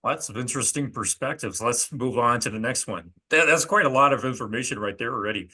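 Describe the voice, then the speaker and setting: A man's voice: mid-range voice, brisk, sharp tone. A man hosting a dating coach panel, chatty and casual as he moves the talk along.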